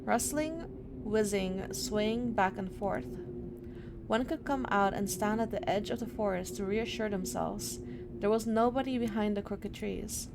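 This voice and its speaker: A woman's voice: mid-range voice, measured pace, creaky, noisy background. A woman doing casual let's-play commentary and reading game text aloud, sounding slightly under the weather. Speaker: streamer playing a horror visual novel.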